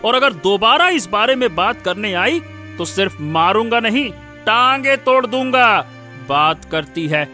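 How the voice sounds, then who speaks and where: Bullying cartoon husband male actor: high, forceful, menacing, scolding and sarcastic, music behind. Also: sing-song, measured pace, heavily acted. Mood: threatening. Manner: scolding, sarcastic rhetorical questions. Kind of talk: cartoon moral-story voice acting.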